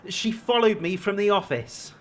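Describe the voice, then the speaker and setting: A man's voice: sits high, expressive, normal speed. An actor playing an anxious, defensive man in a naturalistic audio drama, hesitant and pleading. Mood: flustered, pleading. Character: anxious, defensive man clinging to an abusive girlfriend.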